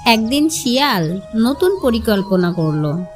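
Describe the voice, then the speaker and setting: A woman's voice: a little high, sing-song, takes it slowly, faint music behind. A woman storyteller narrating a scripted fable for children.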